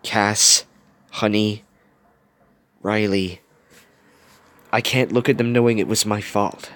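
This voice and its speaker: A man's voice: fairly low pitch, steady tone, slow pace, a bit bright. A man voicing a blunt, guarded young woman in a dramatic story, curt and defensive. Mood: guilty.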